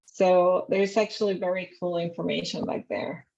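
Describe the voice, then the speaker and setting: A young woman from Argentina, a native Spanish speaker with an Argentine accent, talking haltingly on a video call as a guest researcher. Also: fairly low pitch, fairly even intonation, vocal fry, darkish tone. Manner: hesitant, searching for words. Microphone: laptop mic on video call. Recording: slightly dull video call audio.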